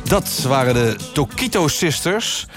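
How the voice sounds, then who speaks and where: Male radio presenter, slightly high voice. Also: very animated, measured pace, loud music behind the voice. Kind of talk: mock radio magazine interview. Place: radio studio.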